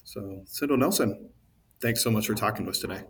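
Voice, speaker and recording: A man's voice: fairly low pitch, fairly quick. A man hosting a podcast, reading intros and asking interview questions in a friendly, upbeat way. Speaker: podcast host. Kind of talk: scripted host links and interview questions.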